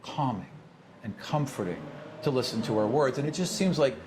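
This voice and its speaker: A man's voice: medium pitch, animated, moderate pace. A man improvising vivid, conversational narration as game master of a tabletop game. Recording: clear background noise.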